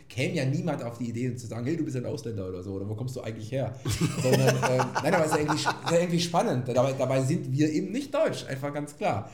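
A quick-talking man from Belgium, co-hosting a relaxed podcast and musing thoughtfully. His voice is dark and mellow, and the room around him is echoey. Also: mid-range voice. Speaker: podcast co-host. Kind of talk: casual chat. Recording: roomy echo.

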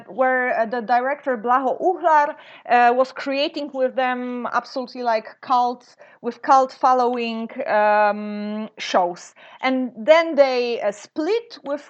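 A Polish woman in her thirties, a guest speaking in an interview, often pausing on fillers and restarting her sentences. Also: a little high. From Poland. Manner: hesitant, many fillers and restarts. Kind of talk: interview conversation. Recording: dull lo-fi sound.

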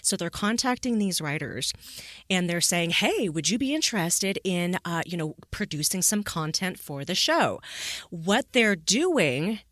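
A woman's voice: on the low side, sing-song, unhurried, a bit bright, dry recording, clean studio sound. A woman hosting a podcast in a conversational, emphatic way, often checking in with rhetorical questions. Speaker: podcast host.

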